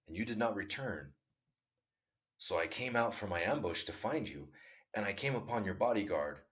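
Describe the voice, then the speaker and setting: A man's voice: lowish voice, close to an everyday voice. A man reading as a repentant wounded enemy. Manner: earnest confession, measured storybook reading.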